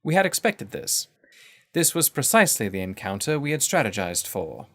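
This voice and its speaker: A man narrating a first-person adventure story in a measured, formal, literary manner. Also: mid-range voice, sing-song, fairly bright tone.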